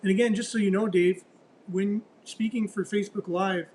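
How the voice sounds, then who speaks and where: A man hosting a casual morning news talk show, loud and chatty, posing rhetorical questions. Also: high voice, fairly even intonation, normal speed, slight background noise. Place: radio studio. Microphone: studio mic close up.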